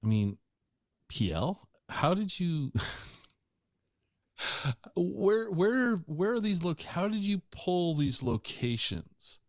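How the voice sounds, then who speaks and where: A middle-aged man hosting a podcast interview, chatty and teasing with animated asides. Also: high-pitched, slow pace, pauses often, dry recording, studio quality. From Washington State. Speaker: podcast host. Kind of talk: casual interview chat.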